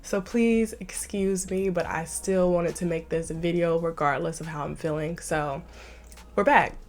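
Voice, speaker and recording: A woman's voice: fairly low pitch, fairly even intonation, measured pace. A young woman with a stuffy nose from a slight cold, chatting enthusiastically to camera in a casual fashion vlog. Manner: chatty and enthusiastic, says "like" often. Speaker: fashion vlogger.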